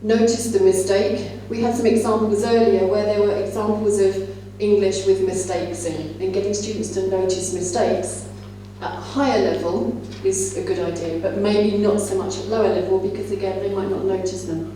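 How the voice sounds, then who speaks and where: Echoey, mellow-voiced woman giving a talk. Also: mid-range voice, steady tone, moderate pace. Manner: explanatory, conversational. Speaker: conference plenary speaker, English teacher trainer. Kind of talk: conference talk to teachers. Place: large indoor conference room. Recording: echoey hall, distant mic, faint hum.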